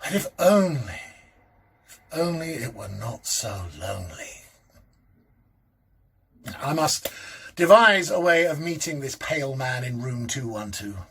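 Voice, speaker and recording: Fussy lonely professor type, middle aged, really husky voice, swoops up and down, ghost story monologue. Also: male voice, medium pitch, big pitch swings, measured pace, long pauses, a bit bright, full character voice. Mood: wistful, resigned. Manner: hesitant, musing, theatrical, precise wording. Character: lonely, fussy, timid middle-aged male academic, peevish and brooding. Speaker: actor. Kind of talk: performed dramatic reading of a classic ghost story, first-person monologue.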